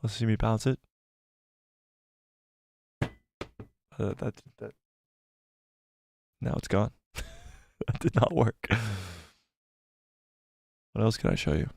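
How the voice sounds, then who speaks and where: A young man hosting a live stream, rambling excitedly, laughing often and reading viewer comments, slightly losing his voice. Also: lowish voice, slow pace, vocal fry, long pauses, no room sound, clean studio sound. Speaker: YouTube channel host.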